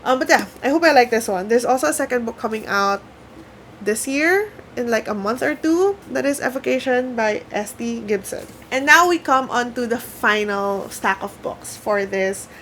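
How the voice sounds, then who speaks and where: A young woman from the Philippines, a Tagalog speaker talking casually to camera at home by her bookshelves, chatty and excitable. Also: a little high, moderate pace, slight background noise. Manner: chatty, excitable, self-mocking. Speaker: book vlogger.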